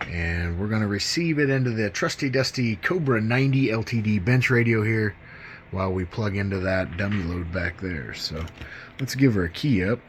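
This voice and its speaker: A middle-aged man with a fairly low voice gives a casual, hands-on product demo. He sounds folksy and keen, like a hobbyist chatting to fellow fans. There is some faint noise in the recording. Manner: folksy, enthusiastic, informal. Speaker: hobbyist video host.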